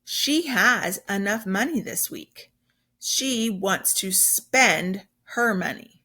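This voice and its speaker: Female teacher, bright and animated, unhurried children's read-aloud with emphatic simple explanations. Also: medium pitch.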